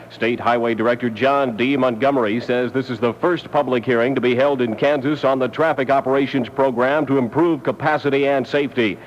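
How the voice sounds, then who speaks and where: An American man reading the news formally as a 1970 AM radio newscaster. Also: mid-range voice. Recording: muffled, noisy 1970 AM air check.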